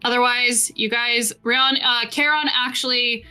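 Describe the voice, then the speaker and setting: Bright-voiced woman running a tabletop game. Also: on the high side, fairly even intonation, clean tone, faint music behind. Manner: casual, swearing, quick asides. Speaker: game master.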